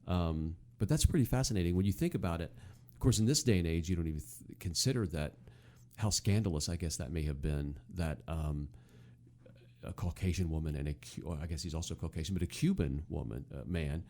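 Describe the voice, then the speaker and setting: A man's voice: deep voice, fairly quick, dark tone. A man co-hosting a podcast, chatting casually and with amusement about old television. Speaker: podcast co-host. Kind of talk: casual chat, nostalgic TV commentary.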